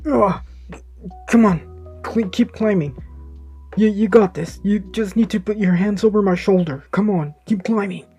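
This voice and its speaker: Male narrator doing a teen hero, higher and louder, urgent and coaxing. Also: unhurried, husky, soft background music. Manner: pleading, encouraging, raised voice. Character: earnest teenage boy hero, calm but urgent.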